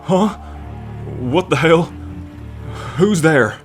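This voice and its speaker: A man's voice: a little high, expressive, very slow, soft background music. A man playing a friendly, earnest half-bull man in an ASMR roleplay, talking in a chatty, folksy way. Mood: startled. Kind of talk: ASMR roleplay, acted speech to the listener.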